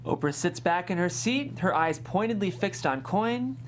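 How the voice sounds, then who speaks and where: A woman's voice: fairly low pitch, animated, normal speed, a little hiss or hum. A woman reading a screenplay's scene directions as scripted narration at a table read. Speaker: stage-direction reader.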